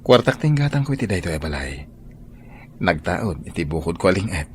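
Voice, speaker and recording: Deep-voiced earnest suitor. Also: male voice, big pitch swings, brisk, a little hiss or hum. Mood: devoted, reassuring. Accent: Ilocano. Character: earnest, devoted young suitor, well-off and protective. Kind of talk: Ilocano radio drama acting. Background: background music.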